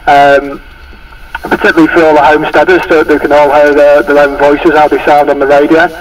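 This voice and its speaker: A man's voice: fairly high pitch, level tone, fairly quick. Distant man over noisy radio. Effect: two-way radio. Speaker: amateur radio caller. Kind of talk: casual radio chat.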